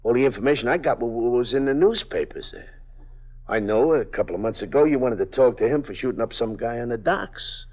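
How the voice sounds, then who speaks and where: An actor playing a nervous, evasive small-time parolee who stammers and pleads, in a 1950s radio drama. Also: male voice, medium pitch, a little fast, natural voice. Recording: dull lo-fi old radio broadcast.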